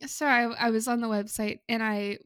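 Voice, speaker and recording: A woman co-hosting a podcast in casual chat, telling stories in a halting, amused way. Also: on the high side, steady tone, fairly bright tone, clear, resonant tone, no echo, studio quality. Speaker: podcast co-host.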